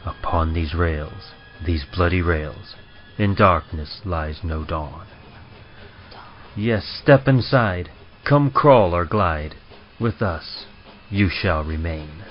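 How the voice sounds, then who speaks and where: Man playing deep, ominous horror-train conductor. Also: takes it slowly. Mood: foreboding. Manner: theatrical, solemn, foreboding. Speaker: announcer. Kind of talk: old-time radio horror rhymed narration. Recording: muffled sound with music.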